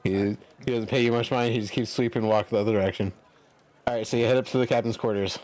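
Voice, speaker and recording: A man speaking casually and haltingly as game master, improvising narration for a tabletop role-playing game. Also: mid-range voice, fairly even intonation, darkish tone.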